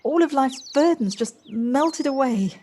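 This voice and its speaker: A woman's voice: high-pitched, lively intonation, a little slow, dark tone. A woman playing a polite, effusive, wistful character in an amateur audio drama. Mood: dreamy, wistful. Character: Josie, wistful, polite, effusive woman rediscovering childlike freedom. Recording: faint noise, home-recorded on personal device.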